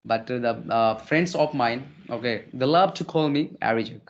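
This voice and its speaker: A man's voice: medium pitch, normal speed, dull audio. Polite Indian man, many fillers. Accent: Indian English. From Odisha, India. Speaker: interview guest, English learner. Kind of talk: casual conversational interview.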